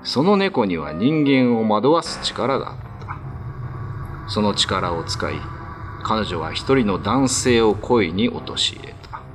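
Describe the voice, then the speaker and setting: Guy narrating old ghost story, slow n dramatic, deliberate delivery, music behind him. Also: on the low side, very animated.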